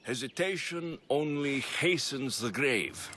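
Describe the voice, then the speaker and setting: An actor playing a young Spartan mercenary in a video game, earnest, wry and battle-hardened. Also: male voice, medium pitch, lively intonation, slow, noisy background. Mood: fond, wry. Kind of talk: dramatic video game cutscene acting.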